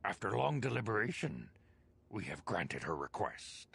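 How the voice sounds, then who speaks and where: An actor playing a grave, stately elder Jedi master in a video game, with a gravelly voice and formal, solemn oratory. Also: male voice, lowish voice, sing-song, moderate pace, fairly bright tone, exaggerated voice. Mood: grave, deliberate. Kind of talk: video game cutscene dialogue. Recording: music behind the voice.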